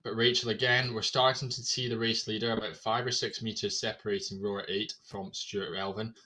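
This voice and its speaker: Male race commentator, energetic play-by-play, crisp bright tone, low-ish pitch. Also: fairly quick, poor audio. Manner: energetic, running play-by-play with fillers.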